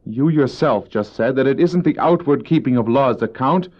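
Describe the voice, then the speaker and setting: An actor playing Cain, a proud, resentful farmer and elder brother, in a theatrical, argumentative manner for a religious audio drama. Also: male voice, medium pitch, animated, moderate pace, close to an everyday voice. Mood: defiant. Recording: dull old cassette audio drama.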